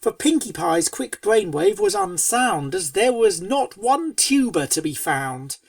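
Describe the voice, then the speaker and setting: A woman reading scripted storybook narration in rhyming verse, with a theatrical, measured cadence. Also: medium pitch, very animated, vocal fry, dry recording, clean studio sound, recorded from a distance. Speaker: fan fiction reader and narrator.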